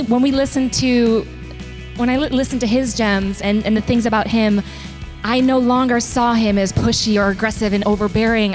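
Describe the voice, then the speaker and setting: A woman giving a personal testimonial to a group, conversational and searching for her words. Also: fairly high pitch.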